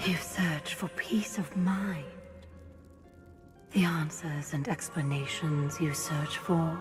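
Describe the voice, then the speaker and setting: A motherly, mysterious female presence in a fantasy game. Her voice is deep and solemn, with very slow, withholding delivery. Music plays clearly behind her. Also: fairly even intonation. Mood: grave, cryptic. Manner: slow, measured, solemn, cryptic. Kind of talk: scripted fantasy game voice acting.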